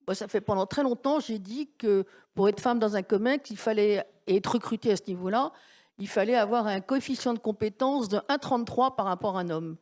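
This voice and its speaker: A woman's voice: mid-range voice, fairly quick, a little mellow. A woman giving her opinion as a speaker in a live panel discussion.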